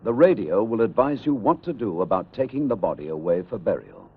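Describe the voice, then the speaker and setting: A man's voice: lowish voice, big pitch swings, a little fast, slightly rough. A man reading a scripted public information announcement in a grave, formal, instructional manner. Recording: dull archive film soundtrack.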